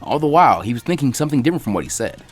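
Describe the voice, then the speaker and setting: Guy reading fanfic out loud, quick, bouncy pitch, soft music behind. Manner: lively, quick reading with voices for each character. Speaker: fanfiction reader. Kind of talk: dramatic reading of fan fiction.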